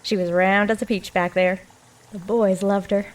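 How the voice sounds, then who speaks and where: A woman's voice: mid-range voice, level tone, normal speed, natural voice. A woman playing a nosy, irreverent working-class maid with folksy rural American diction in an audio drama. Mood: gossipy, teasing.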